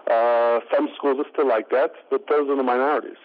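A man giving an interview, speaking haltingly with many fillers. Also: medium pitch, steady tone, slight background noise. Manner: halting, full of fillers like "uh, you know". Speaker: interview guest. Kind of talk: interview over the phone. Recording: tinny phone line.

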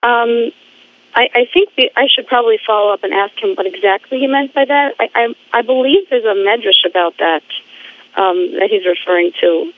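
A woman speaking as a guest in a phone interview, halting and repeating words while she searches for her phrasing. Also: on the high side. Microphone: phone line. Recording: tinny phone line.

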